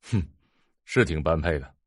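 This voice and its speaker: A contemptuous, calculating heir in an audio drama. His voice is very low and closely recorded with a dry sound, and he sneers with cool, unhurried disdain. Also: lively intonation, slightly rough, dry recording, full character voice. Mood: mocking. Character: cold, contemptuous, calculating rich husband and heir.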